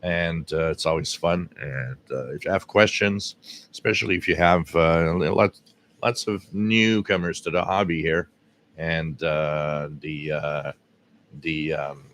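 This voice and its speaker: A man from Quebec, Canada, hosting a casual live radio-hobby stream with a scratchy, hoarse voice, rambling with lots of fillers, recorded on a directional mic at home. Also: a bass voice, steady tone, a little slow, a bit bright. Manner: rambling, many fillers and restarts. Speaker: radio hobby livestream host. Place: home radio room with air conditioning running. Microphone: directional microphone.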